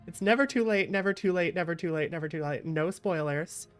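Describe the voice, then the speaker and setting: A woman streamer with a slightly low voice commentates casually on a game. She rambles and thinks aloud, often repeating phrases. Music plays softly behind her. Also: a little fast. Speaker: streamer playing a visual novel.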